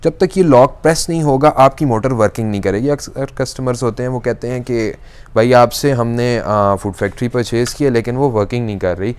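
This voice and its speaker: A man's voice: mid-range voice, a little fast, a little mellow, clean tone. A young man, a shop salesman who demonstrates a kitchen appliance step by step in an electronics store. He talks patiently and often stretches "aa" fillers between phrases. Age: young adult. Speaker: shop salesman reviewing products. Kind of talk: product demonstration.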